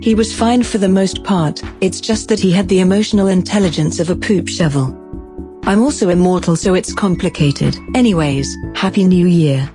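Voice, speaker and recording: A woman's voice: fairly low pitch, normal speed, music in the background, close to an everyday voice. A woman voicing a bored, condescending immortal artificial intelligence in a sci-fi comedy, speaking precisely and without emotion. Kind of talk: scripted sci-fi comedy monologue and drama.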